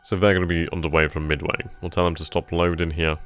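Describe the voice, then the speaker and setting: A man's voice: low-pitched, little pitch movement, fast talker, fairly bright tone, soft background music. A man hosting a strategy game stream, thinking aloud over his moves and casually answering chat questions. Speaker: gaming channel host. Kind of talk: live strategy game commentary.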